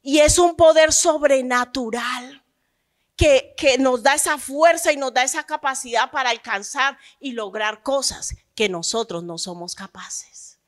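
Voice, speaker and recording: A woman pastor preaches with a slightly high voice. She tells her testimony in an easy, conversational way. Then she lifts into fervent, emphatic preaching about faith. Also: a bit bright. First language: Spanish. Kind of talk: sermon with personal testimony.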